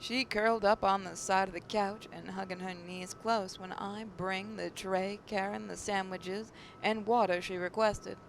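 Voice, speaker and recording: A faint rumbling noise sits behind a woman with a mid-pitched voice. She reads visual novel narration aloud as a gaming host, now and then stumbling over a word. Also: steady tone, measured pace, vocal fry, few pauses.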